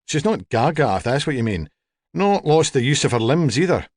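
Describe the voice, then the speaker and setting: A man's voice: mid-range voice, very animated, normal speed, no echo, clean studio sound. A man voicing a plain-spoken, chatty woman working as a home help, in an audiobook story. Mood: brisk, forthright. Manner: chatty, forthright, unbothered.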